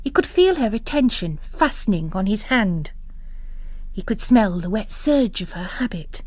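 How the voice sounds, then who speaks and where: A woman's voice: mid-range voice, expressive, measured pace, darkish tone, no echo. A woman reading literary fiction as a narrator, in a dramatic audiobook style.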